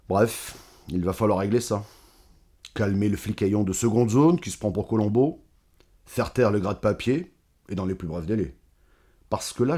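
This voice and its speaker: An actor playing an older corrupt mayor and crime boss in an audio drama, measured, sardonic and condescending, with menace under false courtesy. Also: male voice, on the low side, warm tone, slightly rough.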